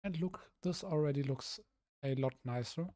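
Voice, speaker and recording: A young man from Germany talks through his building plans in a casual stream, his voice rough and a little hoarse. He thinks aloud, trailing off and restarting often, in a mellow, warm tone. The sound is dry and close, with no room around it. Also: on the high side, moderate pace, dry recording. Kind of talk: casual live-stream commentary while building.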